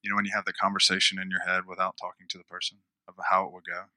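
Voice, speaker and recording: Low, flat-voiced male preacher. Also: racing, no echo. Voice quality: smooth radio voice. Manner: conversational, repeats phrases for emphasis. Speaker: pastor. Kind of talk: sermon.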